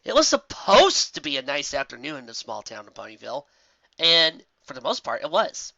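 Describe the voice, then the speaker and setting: Male narrator for fan fiction audiobook: expressive, forward tone, dry room, slightly lo-fi sound. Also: fairly high pitch, moderate pace, creaky, no echo.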